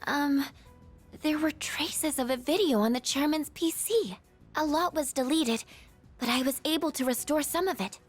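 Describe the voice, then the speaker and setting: Woman as gentle teenage girl, high pitch, tentative delivery, anime game dub, soft background music. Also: normal speed, lightly acted. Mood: tentative, kind. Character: gentle, hesitant, tech-savvy teenage schoolgirl. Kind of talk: English anime-style game dub acting.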